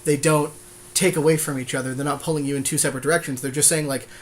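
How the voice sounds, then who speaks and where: A man's voice: on the high side, fairly even intonation, quick pace. A man co-hosting a podcast, chatting casually and agreeably as he explains card game choices. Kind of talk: casual conversational chat.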